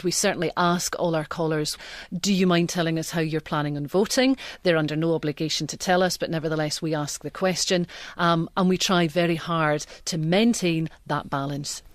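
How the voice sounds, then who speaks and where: A woman with a low voice talks conversationally as a phone-in presenter. She is being interviewed, and the recording is dry and close. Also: fairly even intonation, normal speed, dry recording.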